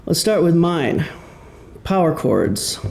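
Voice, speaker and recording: A man giving an informal talk about his own creative work, speaking in a halting, self-deprecating, conversational way before an audience. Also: fairly high pitch, very animated, vocal fry, a little mellow. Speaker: author and musician giving a presentation. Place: indoor room before an audience. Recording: roomy live presentation sound.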